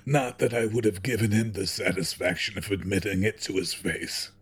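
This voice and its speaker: An actor playing a sickly, wistful old corporate chairman with a frail husky voice and halting, reflective delivery in an audio drama. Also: on the low side, fairly bright tone, exaggerated voice. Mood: reflective, regretful. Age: older. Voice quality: husky, frail, with throat clearing and coughs.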